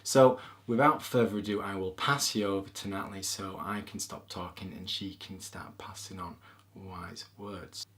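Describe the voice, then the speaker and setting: A man's voice: deep voice, moderate pace. A man with a Yorkshire accent hosting an interview podcast, reading a playful introduction and chatting with his guest. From England. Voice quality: lively, playful storyteller. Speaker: podcast host. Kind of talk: casual interview with a read-out intro.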